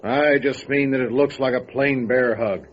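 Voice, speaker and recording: A man playing a gruff, stubborn, guarded mountain recluse in an old-time radio drama. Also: medium pitch, steady tone, normal speed, lightly acted. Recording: dull lo-fi radio drama recording, faint noise.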